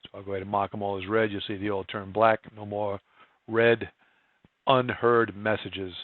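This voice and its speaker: A man narrating a screen-share software tutorial, explaining each step in an informal, plain-spoken way. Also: lowish voice, flat delivery, moderate pace, no room sound, phone-quality sound. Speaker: software trainer.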